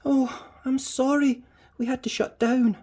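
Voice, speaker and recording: A woman's voice: sits high, steady tone, measured pace, warm tone, pitched above the performer's own voice. A woman voicing a bossy young daycare worker who speaks urgently in a storybook.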